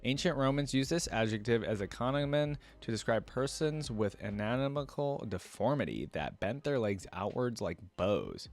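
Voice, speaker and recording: A man's voice: medium pitch, sing-song, normal speed, few pauses. A man reacting to game lore, reading wiki text aloud and musing casually with lots of fillers. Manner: reads lore aloud, then muses with many fillers.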